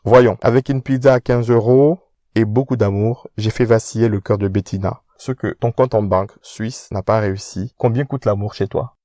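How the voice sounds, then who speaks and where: A man's voice: on the low side, fairly even intonation, normal speed, dark tone, no room sound, close to an everyday voice. An actor playing a proud, witty young man who answers back with mocking self-assurance in an audio drama. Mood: defiant. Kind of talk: independent audio drama acting.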